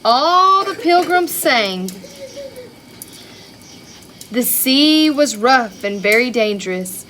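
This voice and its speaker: Slow-paced woman reading to children. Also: high voice, a little hiss or hum. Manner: expressive, child-directed, with rhetorical questions and exclamations. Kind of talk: read-aloud picture-book storytelling with chatty asides.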